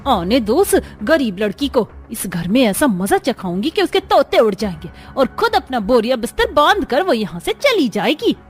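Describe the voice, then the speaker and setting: A woman plays a scheming cartoon mother-in-law of a grand house, middle-aged and haughty. Her voice climbs high as she scorns and commands, with music playing underneath. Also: big pitch swings, normal speed, hardly any pauses. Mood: spiteful scheming.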